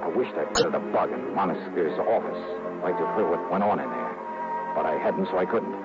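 An actor playing a wry, world-weary private investigator, narrating in clipped, confiding 1960s hardboiled radio drama style. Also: male voice, deep voice, lively intonation, a little fast. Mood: intent, confiding. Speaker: lead actor and narrator of a detective series. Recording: muffled old radio broadcast with music.

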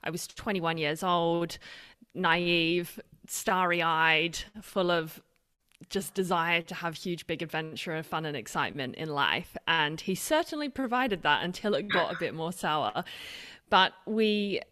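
A woman's voice: fairly low pitch, expressive, unhurried, pushed and bright, clear, resonant tone. A woman in her thirties with a mixed Australian and British accent, a guest telling her story openly and reflectively in an interview. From Australia and Britain. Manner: open, reflective storytelling with frequent restarts. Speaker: podcast guest. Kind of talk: conversational interview.

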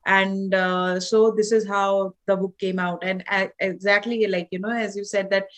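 A woman's voice: mid-range voice, level tone, normal speed, a bit bright. Hesitant Indian-accented woman author. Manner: hesitant, many fillers and restarts. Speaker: author and holistic doctor. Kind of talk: podcast interview guest.

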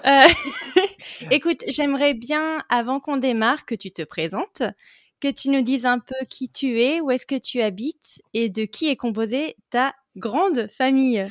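A woman's voice: sits high, measured pace, dry recording. Playful woman host, dry close sound. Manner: playful, laughs often. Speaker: podcast host. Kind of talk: casual interview chat.

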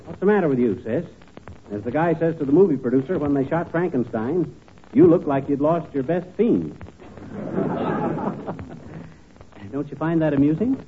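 A man's voice: on the high side, lively intonation, measured pace, pauses often. A man playing a blustery, bumbling but kindly married wisecracker with folksy, slangy patter, in 1940s radio comedy style. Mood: jokey. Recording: tinny, noisy 1940s radio recording.

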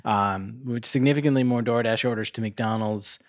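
A man's voice: mid-range voice, normal speed, no room sound, clean studio sound. A man co-hosting a podcast in casual chat, rambling along and swearing casually. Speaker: podcast co-host.

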